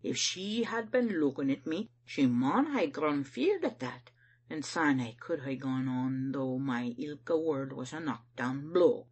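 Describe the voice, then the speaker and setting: A proud, stubborn Scottish church elder, acted out by a woman reader in a storybook. He is stern and weighed down by guilt. His voice is low and husky, with emphatic, dramatic swings in broad dialect. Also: lively intonation, a little slow, darkish tone, exaggerated voice. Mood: stern, solemn.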